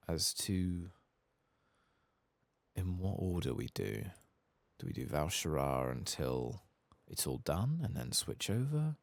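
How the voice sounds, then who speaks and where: A soft-spoken man giving rambling ASMR commentary as he plays a game, sometimes dropping into a whisper. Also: deep voice, vocal fry, no room sound. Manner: rambling, musing to himself; often drops into whispers. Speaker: host of a gaming playthrough channel.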